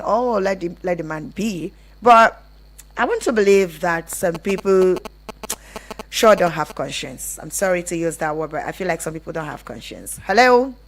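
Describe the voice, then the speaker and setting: A Nigerian-accented woman hosts a live radio phone-in. Her voice sits a little low for a woman, and she speaks as a presenter handling listeners' messages and calls. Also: rough recording. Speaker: radio call-in show host.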